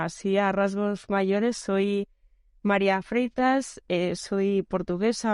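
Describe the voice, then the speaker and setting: A woman with a smooth, clear voice chats as a podcast guest. She is easygoing and feels for her words as she talks. The recording is close and dry. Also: mid-range voice, a little mellow, dry recording. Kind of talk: casual conversational interview.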